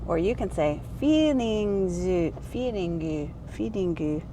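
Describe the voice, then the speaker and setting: A woman's voice: mid-range voice, lively intonation, takes it slowly, dark tone. A middle-aged woman vlogging casually, rambling and correcting herself with bursts of enthusiasm. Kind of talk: casual chatty vlog. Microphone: small handheld camera mic. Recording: noisy car and street ambience.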